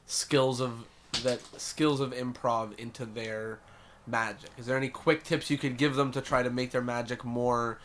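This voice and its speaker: A man's voice: medium pitch, flat delivery, moderate pace, background noise. A man hosting a casual podcast interview, asking hesitant questions full of "like" and "uh". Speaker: podcast host.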